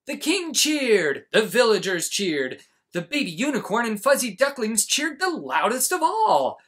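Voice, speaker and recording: A man in his forties reading a children's storybook aloud, with dramatic, exaggerated emphasis and pauses. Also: extremely high pitch, very animated, fairly bright tone, husky. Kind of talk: animated storybook read-aloud.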